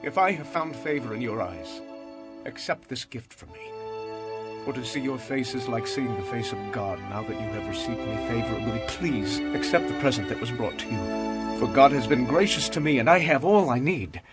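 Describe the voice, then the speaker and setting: A medium-pitched male voice plays a humble patriarch acted by a man in a scripture audio drama. He is earnest and deferential, like a servant before his lord. Music plays clearly behind him. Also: animated, normal speed, natural voice. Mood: humble, pleading. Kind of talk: dramatized audio Bible acting.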